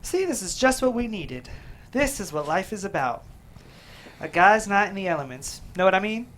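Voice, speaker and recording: A woman's voice: medium pitch, expressive, normal speed, a bit bright, close to an everyday voice. A woman playing a nervous, pushy young male best friend in a drama table read, casual and coaxing. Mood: chummy.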